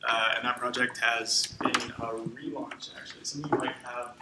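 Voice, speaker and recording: A man introducing a speaker at a conference in a casual, halting way full of fillers. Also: mid-range voice, flat delivery. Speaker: event emcee. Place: conference room. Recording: distant mic in echoey room, noisy.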